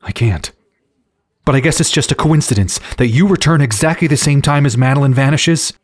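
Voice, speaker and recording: A man playing a grieving, mistrustful superhero in an audio drama, halting, heavy and guarded. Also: mid-range voice, no room sound, clean studio sound, close to an everyday voice. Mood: stunned, doubtful. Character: Scott, grieving, stunned, mistrustful male superhero.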